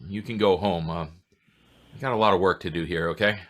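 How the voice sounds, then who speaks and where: A man playing a matter-of-fact homicide inspector with halting speech in a radio drama. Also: low voice, fairly even intonation, a bit bright. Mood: businesslike. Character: businesslike male homicide police inspector. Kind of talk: old-time radio drama acting.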